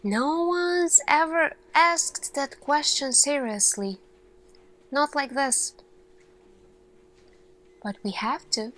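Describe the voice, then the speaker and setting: A woman playing an earnest, thoughtful lead scientist in an ASMR roleplay, speaking in a hushed, deliberate way with pauses and searching questions. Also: high voice, rough recording. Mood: hushed, probing.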